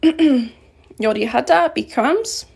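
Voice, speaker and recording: Woman teaching grammar, halting ums. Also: high-pitched, lively intonation, vocal fry, a little mellow, slightly rough. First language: English. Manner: halting, thinking aloud with frequent ums. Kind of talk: live-streamed grammar lesson.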